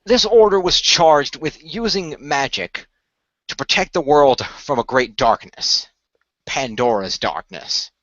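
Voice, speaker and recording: A man playing a courteous, earnest keeper of a magical secret in a radio play, speaking in a formal, measured storyteller's way. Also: fairly high pitch, expressive, fairly bright tone, dry recording, lo-fi sound. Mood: solemn storytelling.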